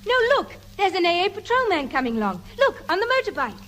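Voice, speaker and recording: An actress playing a brisk, urgent woman in a 1950s British radio sitcom. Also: very high voice, sing-song, a bit bright, full character voice. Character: brisk, urgent woman warning her hapless friend. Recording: old radio recording with faint noise.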